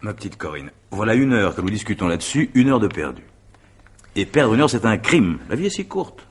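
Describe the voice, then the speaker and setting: Stubborn impatient man, patronising, lively swooping intonation, husky, insistent, old French radio drama. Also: fairly low pitch, fairly quick, full character voice. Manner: insistent, condescending. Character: impatient, patronising male partner, stubborn rationalist.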